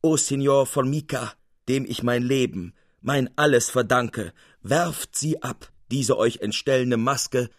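A man's voice: mid-range voice, takes it slowly, dry recording, studio quality. A man voicing a grateful, ardent young man in a storybook, speaking fervently and emphatically. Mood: fervent gratitude.

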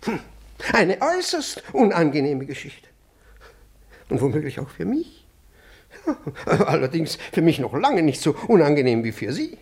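Stuffy older boss in a radio play, easily alarmed, stammers and repeats, voice all over the place. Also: male voice, fairly high pitch, huge pitch swings, slightly rough, heavily acted. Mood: indignant, alarmed. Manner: pompous, halting, repeats himself. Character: pompous, fussy, easily alarmed older male department chief. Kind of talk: German radio comedy acting.

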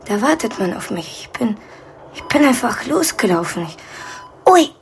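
A woman playing a distraught, ashamed woman in her thirties in a radio drama, halting, hushed and repeating her phrases. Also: fairly high pitch, very animated, a little hiss or hum. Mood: confused, self-reproaching. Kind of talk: naturalistic radio drama acting.

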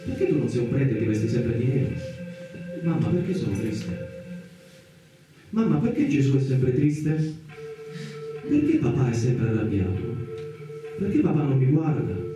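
An actor playing a curious, sad little child in a radio play, asking insistent, repetitive questions. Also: male voice, mid-range voice, sing-song, normal speed, pauses often, natural voice. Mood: curious, plaintive. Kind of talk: theatrical radio monologue. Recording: distant, very echoey radio theatre with music.

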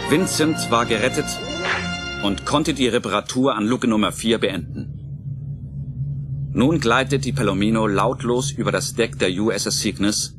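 A man's voice: mid-range voice, close to an everyday voice. A man giving dramatic scripted narration as the storyteller of a German radio play. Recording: music bed under the narration.

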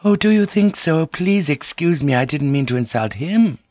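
A man voicing a soft, apologetic Japanese noblewoman who is cunning and deferential in an audiobook. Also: fairly high pitch, expressive, normal speed, dry recording, lo-fi sound, pitched above the performer's own voice. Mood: meek flattery. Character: penitent, cunning Japanese noblewoman, flattering and meek. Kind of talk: audiobook character acting.

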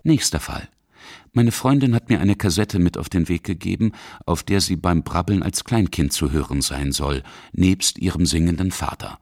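Deep-voiced man narrating a radio feature. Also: moderate pace, a little mellow, no room sound, clean studio sound. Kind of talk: scripted documentary narration.

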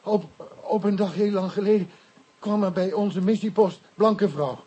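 High-voiced frightened Pygmy tribesman. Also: warm tone, breathy edge, highs cut off. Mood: earnest, confiding. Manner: halting broken Dutch with inverted word order and stammers. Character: frightened Pygmy tribesman, mission convert, naive and loyal. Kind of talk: radio play acting.

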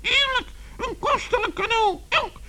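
Small animal: piercingly high, bright, petulant and boastful, childlike, children's radio play. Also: male voice, unhurried, full character voice. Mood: proud, delighted. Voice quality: shrill, squeaky. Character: childish, vain, stubborn little animal homeowner.

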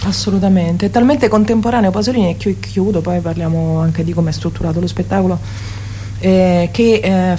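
A woman's voice: fairly low pitch, dark tone, slight background noise. A woman talking casually and emphatically in a radio interview, correcting herself as she goes. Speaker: singer and theatre performer, guest.

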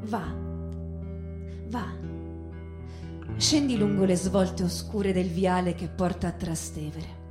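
Woman radio host reading poetry n prose, low-ish voice, echoey, bit of guitar behind. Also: takes it slowly, long pauses. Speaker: radio show host and reader. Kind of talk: expressive literary reading over guitar. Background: acoustic guitar music.

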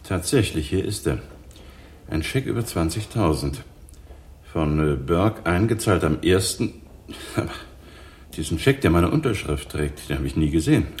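A man's voice: sits low, lively intonation, moderate pace, darkish tone, husky. An actor playing a middle-aged, well-off businessman in a German radio play, speaking in an urbane, conversational way. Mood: troubled. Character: wealthy, worried brother-in-law, a businessman keen to avoid scandal.

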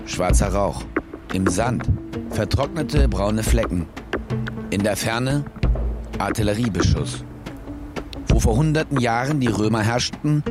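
An actor playing a cynical, self-satisfied male war reporter, telling his story in terse, wry radio-play narration. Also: lowish voice. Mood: dry, wry. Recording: studio radio play with music bed. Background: music.

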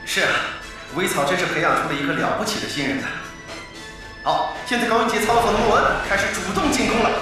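An actor playing an excitable esports commentator in an audio drama, with dramatic, emphatic play-by-play. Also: male voice, high voice, fast talker, distant mic. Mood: keen, analytical. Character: excitable male esports match commentator calling the action. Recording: echoey arena sound over loud music.

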